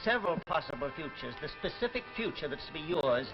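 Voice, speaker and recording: An actor playing a principled old scientist in a 1950s television drama, speaking in a measured, formal way. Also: male voice, on the high side, very animated. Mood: grave, measured. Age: older. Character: weary, principled old scientist who sees futures. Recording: muffled vintage TV broadcast, music behind.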